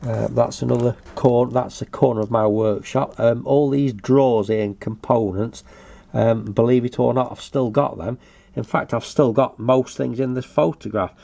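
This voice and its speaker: A man's voice: medium pitch, fairly even intonation, moderate pace, creaky, dark tone. An older British man giving a casual show-and-tell commentary in a hesitant, matter-of-fact way. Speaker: hobbyist video presenter.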